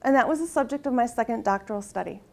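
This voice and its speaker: A soft-spoken middle-aged woman giving a conference presentation, leading an audience exercise and asking "right?" often. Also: a little high, quick pace, gravelly. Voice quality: soft-spoken, tries to project. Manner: uses frequent rhetorical "right?" tags.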